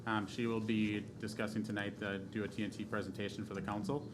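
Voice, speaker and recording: Flat-voiced man, hesitant and far off. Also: on the low side, fast. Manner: flat, hesitant, many uhs. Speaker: city administrator. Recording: noisy, distant from the mic.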